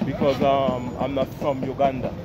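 A man's voice: mid-range voice, completely flat delivery. A man in his forties from the Caribbean, walking through a village market and chatting easygoingly to his camera and to passersby. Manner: slow, easygoing, friendly greetings to passersby. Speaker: travel vlogger. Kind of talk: casual walking vlog narration. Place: busy outdoor village market. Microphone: selfie camera at arm's length. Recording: street noise behind the voice.

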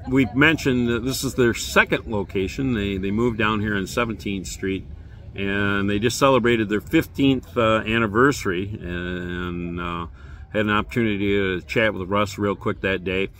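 An older man in his sixties giving a relaxed, rambling beer-garden tour, filmed on a handheld phone outdoors. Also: fairly low pitch. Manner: relaxed, rambling, many fillers. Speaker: beer review vlogger. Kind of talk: casual vlog walk-through. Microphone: phone camera at arm's length.

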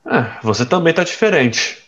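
An actor playing a brash, cocky young rich playboy in an audio drama, with slangy, teasing speech. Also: male voice, on the high side, sing-song, moderate pace, a bit bright, lo-fi sound. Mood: pensive.

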